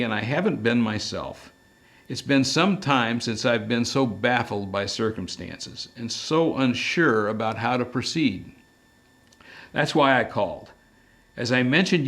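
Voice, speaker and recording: A man's voice: medium pitch, fairly bright tone, natural voice. Man reading as a priggish, fussy English professor. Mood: apologetic, strained. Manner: formal, wordy, fussy. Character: priggish, anxious male English professor on telephone.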